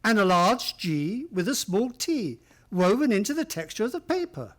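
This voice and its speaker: Radio drama loyal gentleman sidekick: high male voice, very expressive, inquisitive, mildly hesitant. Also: moderate pace. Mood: puzzled, pondering. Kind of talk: radio play acting.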